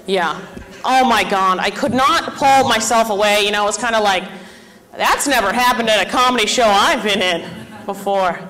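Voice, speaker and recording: A young woman in her twenties performing a self-deprecating stand-up comedy set on a club stage, with deadpan punchlines and chatty asides to the crowd. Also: mid-range voice, moderate pace, poor audio. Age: 20s.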